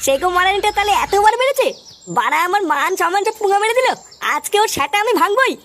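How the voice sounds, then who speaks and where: A woman's voice: very high-pitched, expressive, fast talker, fairly bright tone. A woman voicing a whiny, foul-mouthed cartoon village man, in a comic dub. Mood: whining, vengeful. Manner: whiny, indignant, crude. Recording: noisy track with birdsong.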